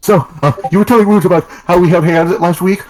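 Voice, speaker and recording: A man's voice: high voice, quick pace, a little mellow, natural voice, poor audio. A man voicing an embarrassed, awkward teenage male cartoon hedgehog in an amateur audio drama. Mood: friendly, awkward.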